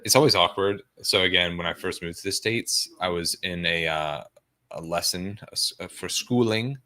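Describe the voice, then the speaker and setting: A man's voice: deep voice, moderate pace, bright, forceful tone. A man co-hosting a movie watch party with casual, slangy joking banter and some swearing.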